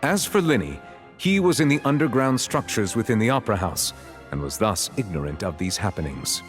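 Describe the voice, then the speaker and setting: An actor voicing a grave, formal chief justice in a video game, speaking with measured authority. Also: male voice, lowish voice, big pitch swings, faint music behind. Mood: solemn, explaining. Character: grave, formal male chief justice presiding over a trial. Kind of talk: dramatic video game voice acting.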